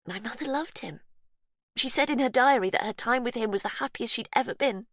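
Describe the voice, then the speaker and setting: A woman reading an audiobook, voicing an unhinged, childlike captor who speaks in a high, breathy, sweetly menacing sing-song. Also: fairly quick, dry recording, clean studio sound. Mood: bitter.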